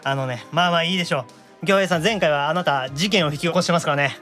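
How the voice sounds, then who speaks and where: A man's voice: fairly high pitch, fast talker, fairly bright tone, soft background music. A man hosting a podcast, switching between scripted intros and casual, teasing banter. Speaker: podcast host, voice actor.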